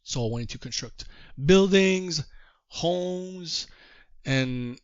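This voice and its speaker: A man with a French Canadian accent, a native French speaker, presenting to a group call in halting English and telling his story with repeated refrains. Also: a little high, expressive, clear, resonant tone, dry recording, lo-fi sound. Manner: self-deprecating, halting English. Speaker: trading course presenter.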